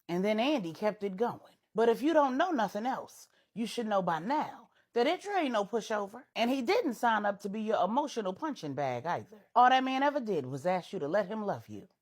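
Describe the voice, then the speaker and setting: A woman's voice: medium pitch, sing-song, normal speed, husky, voice pushed up. A woman voicing a blunt, scolding, motherly older friend with a folksy, plain-spoken manner in a told story. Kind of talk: audio storytelling dialogue.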